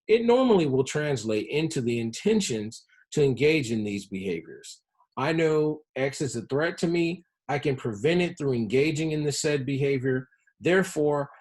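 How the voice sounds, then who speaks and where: A man with a mid-pitched, somewhat husky voice gives an educational presentation. He explains his material in a measured, instructional manner, as a public health professional addressing learners. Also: darkish tone. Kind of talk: educational presentation on health-focused game design.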